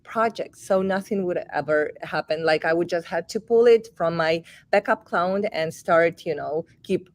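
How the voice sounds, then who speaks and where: A woman from Ukraine with a Ukrainian accent, a dev team lead chatting on a video call, animated and quick to laugh. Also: lowish voice. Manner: animated, laughs often, apologetic. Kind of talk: casual video call chat.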